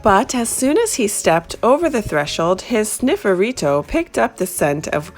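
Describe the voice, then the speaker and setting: A woman reading a children's picture book aloud in an expressive, animated storytelling style. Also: mid-range voice, normal speed, soft background music.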